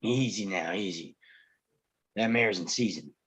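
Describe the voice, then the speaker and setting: An actor playing a guarded, gruff Old West lawman in a table read, speaking tersely and grudgingly with some hesitation. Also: on the low side, a little slow, fairly bright tone. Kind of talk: live table read acting of a western screenplay.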